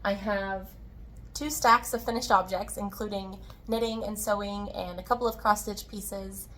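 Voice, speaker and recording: A woman with a slightly hoarse, tired voice hosting a casual, chatty crafting video in a friendly way. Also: mid-range voice, a little hiss or hum. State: slightly hoarse, tired-sounding from recovering from illness. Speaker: podcast host, yarn dyer and shop owner.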